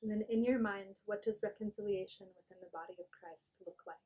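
A woman off camera asking interview questions in a soft, encouraging way. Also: medium pitch, a little fast, breathy edge, poor audio.

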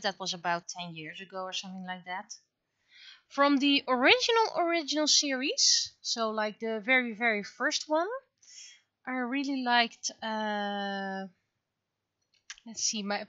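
A woman's voice: a little high, expressive, moderate pace, sharp tone, dry recording, muffled recording. A middle-aged woman, a native Dutch speaker, chatting casually and thinking aloud as she draws on a livestream. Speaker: streamer and webcomic artist. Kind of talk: casual livestream chat while drawing. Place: indoors at a computer.

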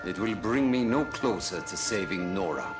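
An actor voicing a cold, grave, obsessed scientist supervillain in a video game. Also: male voice, deep voice, animated, normal speed. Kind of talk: video game character acting. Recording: loud music behind.

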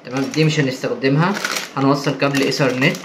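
Egyptian male installer, casual tutorial delivery, rapid-fire, faint background noise. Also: mid-range voice, fairly even intonation, echoey room. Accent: Egyptian Arabic. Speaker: installer presenting an installation video. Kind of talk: casual hands-on tutorial commentary. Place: indoors in a marble-walled room. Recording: noisy handheld on-site recording.

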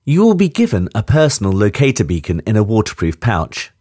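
A man reads a scripted safety briefing in a clear, measured way. His voice sits low but moves through a wide range of pitch. The recording is dry and close, with no room sound. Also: huge pitch swings, warm tone, dry recording. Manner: clear, measured instructional delivery. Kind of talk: scripted voice-over instructions.

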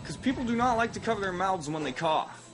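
A man's voice: high voice, lively intonation, fast talker. A young man with a Midwestern American accent, sick and coughing, rambling through casual gameplay commentary with self-mocking asides. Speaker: let's play host. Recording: game music playing loud underneath. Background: game music.

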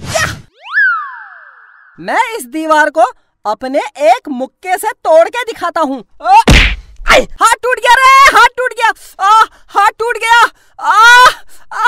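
A woman's voice: very high-pitched, background noise, full character voice. A woman voicing a lazy, boastful cartoon schoolboy who whines and wails. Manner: whiny, boastful, wailing. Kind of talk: Hindi cartoon voice acting.

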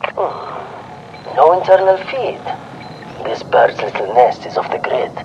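An actor playing a wry, secretive spy with a Moroccan accent and a sly, theatrical manner in a game. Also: male voice, on the high side, big pitch swings, takes it slowly. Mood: sly, watchful. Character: wry, secretive male spy and surveillance expert. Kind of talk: game radio dialogue. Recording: dull noisy radio transmission.

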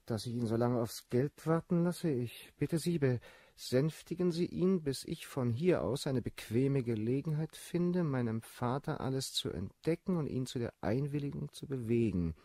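Flippant baron, husky and close. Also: male voice, mid-range voice, expressive, normal speed, hardly any pauses, dark tone, no room sound. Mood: wooing, dictating. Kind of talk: German radio drama acting.

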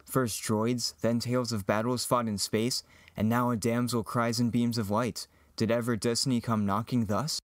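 A man's voice: mid-range voice, flat delivery, normal speed, no room sound, clean studio sound, natural voice. A man playing an earnest, lovestruck young farm boy hero, declaiming verse in an amateur Shakespearean reading. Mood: earnest, wondering.

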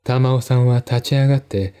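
Male audiobook narrator for mystery: medium pitch, dark tone, deliberate dramatic reading. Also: smooth. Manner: measured, deliberate pauses. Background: faint music.

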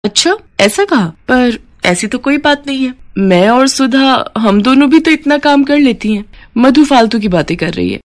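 Woman playing touchy young daughter-in-law, high-pitched. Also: expressive, normal speed. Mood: offended.

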